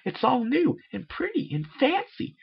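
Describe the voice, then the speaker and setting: Man acting as hyper girl cartoon pony, very high falsetto, gushing, eager, muffled sound. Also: wildly swooping pitch, unhurried, vocal fry, husky, exaggerated voice. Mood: worried insistence.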